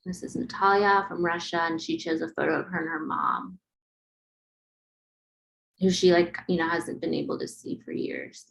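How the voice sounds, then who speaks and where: A woman with a somewhat low voice that often drops into gravelly fry presents her project in an online webinar. She talks casually and haltingly, as if explaining pictures to the group one at a time. The style is informal and unscripted. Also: animated, poor audio.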